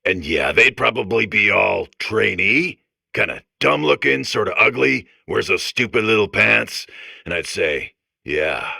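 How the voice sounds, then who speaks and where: Gravelly, chummy, condescending robot buddy. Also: male voice, deep voice, pushed and bright, no room sound, clean studio sound, full character voice. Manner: rambling, casual, insistently earnest. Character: overeager chummy robot core, backhandedly condescending man. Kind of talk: comedic video game character acting.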